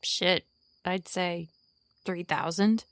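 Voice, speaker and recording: A woman's voice: fairly low pitch, fairly even intonation, slow, dry recording. An actress playing a sarcastic, distrustful young woman archer in an audio drama, with an irritated, sardonic delivery.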